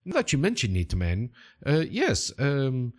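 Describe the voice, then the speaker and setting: A man voicing a friendly middle-aged Brazilian riverboat captain with a put-on, Italian-sounding Portuguese accent, eager and halting, repeating himself. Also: medium pitch, wildly swooping pitch. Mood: eager, recalling.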